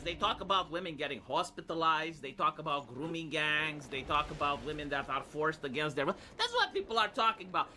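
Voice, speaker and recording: A man's voice: sits high, pushed and bright, slight background noise. A man giving casual, off-the-cuff reaction commentary as a streamer, argumentative and sardonic, often throwing out rhetorical questions. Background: music behind the voice.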